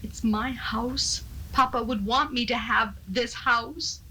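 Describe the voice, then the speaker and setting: An actress plays a brooding Victorian spinster in a radio drama, strong-willed and cold. Her voice is sharp and rather high, with a faint hiss from the seventies recording. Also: fairly even intonation, slow, low-quality recording. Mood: entranced, cold. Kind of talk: 1970s live radio drama acting.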